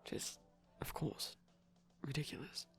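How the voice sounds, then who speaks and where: A person playing a shy, gently geeky boyfriend in soft, breathy ASMR, rambling and explaining over a movie and often dropping into a whisper, with a creaky edge. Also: unhurried. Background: TV playing in the background.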